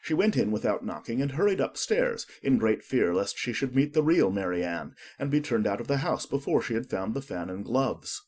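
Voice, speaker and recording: A man reads a storybook as an audiobook narrator. His voice is husky and medium in pitch, with lively, swooping intonation through the scripted narration. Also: normal speed, few pauses.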